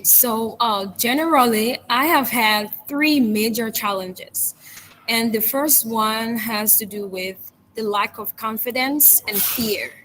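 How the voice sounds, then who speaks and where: Young Liberian woman, fairly high voice, earnest and measured; faint noise. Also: fairly bright tone, rough recording. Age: young adult. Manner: earnest, measured, structured points. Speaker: guest, public speaker. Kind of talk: interview answers.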